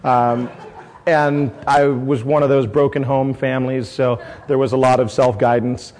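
A man's voice: mid-range voice, little pitch movement, unhurried, a little mellow. A middle-aged man from San Diego, a brewery owner, talking casually to a tasting crowd, rambling with many fillers and restarts. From San Diego, California. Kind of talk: casual talk at a tasting event.

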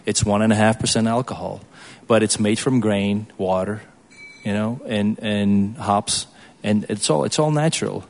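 A man from Belgium, speaking as a guest brewer in an informal question-and-answer session, in a casual, halting way. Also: on the low side, creaky, darkish tone.

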